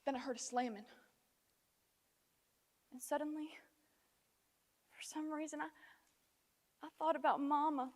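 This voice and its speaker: A teenage female student playing a distraught Southern wife, telling her story haltingly in a dramatic stage monologue with emotional swells. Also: high-pitched. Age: teens. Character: distraught, hesitant Southern wife recounting a violent confrontation. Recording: echoey hall with long reverb.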